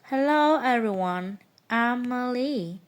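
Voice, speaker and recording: A woman's voice: fairly high pitch, animated, slow. A woman from Thailand, a guest introducing herself plainly and politely.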